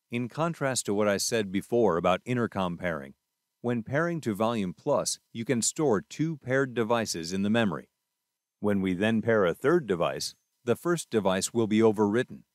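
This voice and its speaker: A man's voice: on the low side, dry recording, clean studio sound. A man presenting a product tutorial, narrating scripted instructions step by step in a methodical way.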